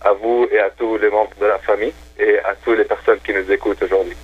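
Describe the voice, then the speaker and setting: A man's voice: on the low side, level tone, quick pace, slightly rough. Man on a tinny phone line. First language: Arabic. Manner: enthusiastic, hesitant with many fillers. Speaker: phone-in guest. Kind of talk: casual radio phone chat.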